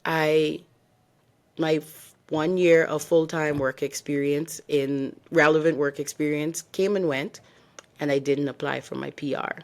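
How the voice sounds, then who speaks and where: A woman's voice: low-pitched, steady tone, unhurried. A young adult woman from the Bahamas, co-hosting a podcast and candidly telling her own story and giving advice. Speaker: podcast co-host. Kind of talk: casual podcast chat.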